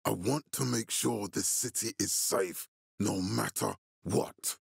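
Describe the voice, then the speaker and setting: Game militia commander: male, low husky voice, stern, measured, fatherly authority, dry close sound. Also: no room sound, heavily acted. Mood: earnest, determined. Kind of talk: video game voice acting.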